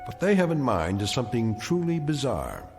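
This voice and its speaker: An actor playing a grave, measured narrator of an eerie radio drama, delivering ominous scripted narration. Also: male voice, mid-range voice, wildly swooping pitch. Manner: measured, portentous. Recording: long reverb, music underneath.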